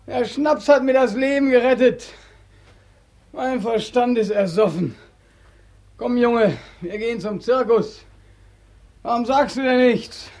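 An actor playing a bitter, haggard young war veteran in a sardonic, impassioned way, in 1940s German radio drama style. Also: male voice, extremely high pitch, unhurried, long pauses, darkish tone. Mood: drunken ranting. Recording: vintage 1940s radio recording.

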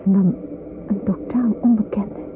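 Actress doing a hesitant earnest young woman in an old radio play, tinny audio, loud background noise. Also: medium pitch, fairly even intonation, a little slow, lightly acted. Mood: anxious. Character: earnest, uneasy young woman fleeing by train in wartime. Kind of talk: Dutch radio drama acting. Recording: noisy, phone-like old radio play recording.

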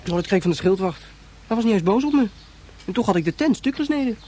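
An actor playing an earnest youngster who explains rules in an instructive, matter-of-fact way in a radio play. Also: male voice, sits high, animated, fast talker, mellow. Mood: relieved.

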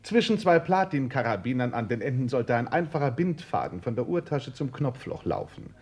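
An actor playing an animated, gossipy society man in a German radio play, speaking with precise relish. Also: medium pitch, normal speed. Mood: amused, excited.

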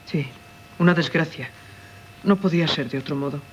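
An actress playing an anguished, unhappy wife in a 1970s radio drama, speaking earnest, solemn Castilian Spanish. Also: female voice, low-pitched, normal speed, close to an everyday voice. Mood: earnest, bitter. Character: anguished, unhappy wife pleading for her freedom. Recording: dull 1970s radio recording, clear hiss.

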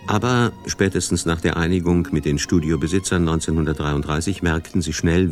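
A man's voice: deep voice, darkish tone. A man narrating a scripted radio documentary as its main narrator.

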